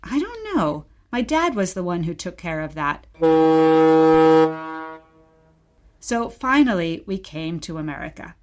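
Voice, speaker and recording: A woman's voice: fairly low pitch, very animated, moderate pace. A woman playing a wistful mother reminiscing about exile and telling her story simply in a radio play. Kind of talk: short radio play dialogue, bedtime storytelling.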